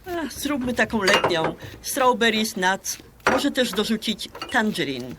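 A woman's voice: mid-range voice, animated, unhurried, heavy background noise, close to an everyday voice. An actress in a radio drama playing a brash, flirtatious Polish woman around sixty who banters and peppers her Polish with English words. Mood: bossy, encouraging.

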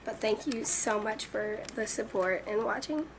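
A teenage girl with a raspy voice chatting earnestly to camera in her bedroom, with lots of ums. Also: a little high, a little slow. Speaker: vlogger. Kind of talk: casual chat to camera. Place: small bedroom indoors. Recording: distant webcam audio with noise.

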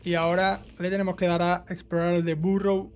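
Higher-voiced man guiding through a game. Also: flat delivery, normal speed, clear, resonant tone. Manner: instructional, often checking in with the listener. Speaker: video game guide host. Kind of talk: casual step-by-step gameplay walkthrough commentary. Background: game music.

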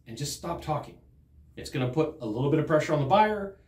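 A man's voice: fairly high pitch, quick pace. A middle-aged man teaching sales technique straight to camera, emphatic and gesturing as he talks. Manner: animated, emphatic, gesturing while talking. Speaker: sales trainer. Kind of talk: direct-to-camera sales lesson. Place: studio in front of a green screen. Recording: roomy studio echo.

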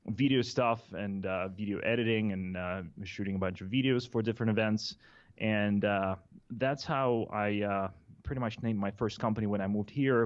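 A man's voice: lowish voice, highs cut off. A man from Russia with a Russian accent, a guest talking casually in an office, full of fillers and restarts. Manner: halting, many fillers and restarts. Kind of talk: casual interview talk. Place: office conference room with windows. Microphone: studio mic on a boom, headphones.

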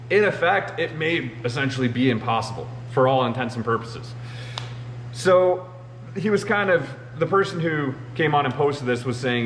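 A man's voice: on the high side, a bit bright. A man in his thirties talking casually to camera as a machinist show host, filling his talk with "you know.". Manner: conversational, uses many "you know" fillers. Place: large indoor machine shop. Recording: roomy shop with faint hum.

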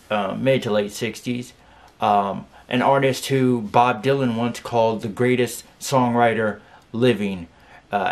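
A man in his thirties talking casually as a music reviewer, often pausing mid-sentence, recorded in a bedroom on a camera at arm's length. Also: lowish voice. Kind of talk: casual reaction video talk. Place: small furnished bedroom. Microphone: camera at about arm's length.

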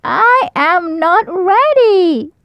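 A woman voicing a small baby boy owl who whines and pleads in a high voice, in a children's storybook read-aloud. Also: very animated, extremely slow, dry recording, heavily acted. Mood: upset. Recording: dull lo-fi sound.

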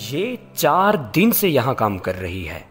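A man's voice: medium pitch, huge pitch swings, measured pace, loud music behind the voice. A man playing a stern young boss. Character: stern young male boss, curt and demanding. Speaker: office boss. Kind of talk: Urdu audio drama acting.

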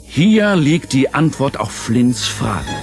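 A man narrating a German radio play in a theatrical, deliberate scripted style. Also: medium pitch, sing-song, vocal fry, over loud music.

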